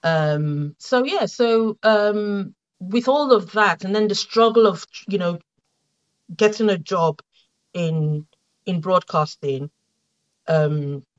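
A middle-aged woman with a Nigerian accent, a guest in an interview, telling her story in a reflective, halting way with many fillers and restarts. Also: mid-range voice, highs cut off. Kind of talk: conversational interview.

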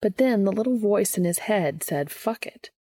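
A woman narrating a scripted story as a fan fiction reader, with a husky voice. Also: medium pitch, lively intonation, normal speed, dark tone, no echo.

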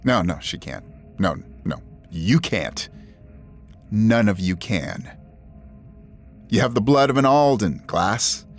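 An actor playing a stern, possessive older patriarch in an audio drama, speaking in a cold, accusing way. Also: male voice, lowish voice, animated, takes it slowly, long pauses, faint music behind. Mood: possessive, menacing.

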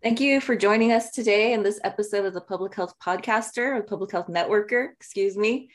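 A woman's voice: mid-range voice, fairly quick. A woman hosting a podcast interview, friendly and a little stumbling over names. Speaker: podcast host.

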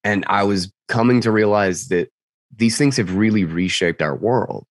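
A man being interviewed, explaining casually and haltingly as he thinks aloud, his voice slightly congested after losing it. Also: lowish voice, dry recording, clean studio sound. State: slightly congested, recovering from lost voice. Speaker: interview guest.

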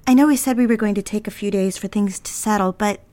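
An actress playing a conflicted, lovestruck young bride-to-be in a romantic period audio drama, speaking earnestly in measured, formal phrases. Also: female voice, medium pitch, dark tone, natural voice. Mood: tender, yearning.

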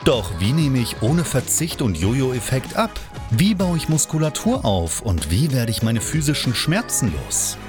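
Lively male podcast announcer over music. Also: mid-range voice, unhurried. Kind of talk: scripted podcast intro. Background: music bed.

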